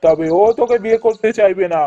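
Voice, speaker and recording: Solemn high-voiced holy man played by a man. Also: fast, dark tone, no echo, highs cut off, close to an everyday voice. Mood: commanding. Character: commanding holy man, a wandering sadhu prophesying fortune. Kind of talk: Bengali folk-tale cartoon dialogue.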